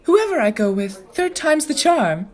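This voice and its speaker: A woman playing a dreamy, smitten mature woman with an airy manner and a husky voice in a radio play. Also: high-pitched, big pitch swings, unhurried.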